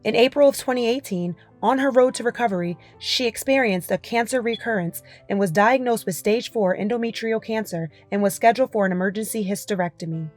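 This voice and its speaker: Brief: female feature narrator, steady solemn voice-over, light music bed. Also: on the high side, brisk, hardly any pauses. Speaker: news narrator. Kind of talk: scripted news narration.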